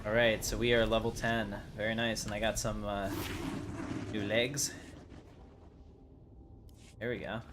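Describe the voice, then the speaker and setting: A man's voice: lowish voice, level tone, unhurried, long pauses. A man giving casual, rambling livestream commentary as he thinks aloud while playing a game. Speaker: livestream host. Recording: clearly noisy background.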